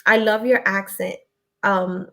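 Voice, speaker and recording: A woman's voice: medium pitch, fairly even intonation, takes it slowly, fairly bright tone. A young woman from Connecticut whose first language was Spanish, chatting casually as she reads out and answers viewer questions on a live stream. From Connecticut, USA. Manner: chatty, reads comments aloud, laughs often.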